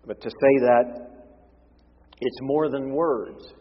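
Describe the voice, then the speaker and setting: A male pastor preaches a sermon with his voice going hoarse and husky. He shifts between forceful emphasis and hushed, quiet passages. The sound is dull and lo-fi, with a long reverb from the sanctuary around him. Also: mid-range voice, fairly even intonation, takes it slowly.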